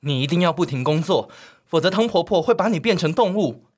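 A man's voice: a little high, lively intonation, brisk, darkish tone, a little hiss or hum, natural voice. A man with a Taiwanese accent acting an earnest, protective boy who gives solemn advice in an amateur radio drama parody. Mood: urgent warning.

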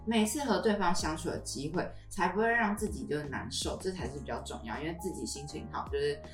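A woman's voice: on the low side, quick pace, distant mic, faint music behind. A young woman co-hosting a podcast in casual chat, recorded in a roomy space away from the mic. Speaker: podcast co-host.

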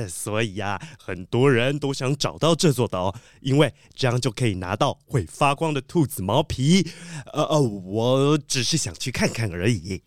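Animated, excitable gentleman treasure collector voiced by a man. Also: mid-range voice, unhurried, few pauses, dry recording, studio quality, natural voice. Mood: polite, flustered. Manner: dramatic, storytelling. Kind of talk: children's audio drama acting.

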